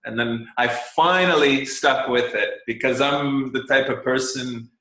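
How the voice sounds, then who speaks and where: A man's voice: mid-range voice, measured pace, a bit bright. Canadian man musing in a roomy echo. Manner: thoughtful, rambling with many fillers and restarts. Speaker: guest. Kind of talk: casual interview talk.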